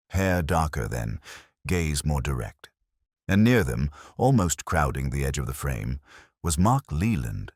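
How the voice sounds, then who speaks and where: Very deep-voiced man narrating a detective mystery. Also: darkish tone, no room sound, clean studio sound. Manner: measured, literary, formal delivery. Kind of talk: scripted first-person detective mystery narration.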